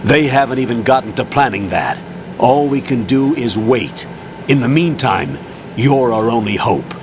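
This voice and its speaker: Commanding colonel played by a man, firm and businesslike mid-pitched male voice, game briefing over clear background noise. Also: expressive, normal speed, close to an everyday voice. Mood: stern, firm. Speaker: commanding officer. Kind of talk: dramatic video game voice acting.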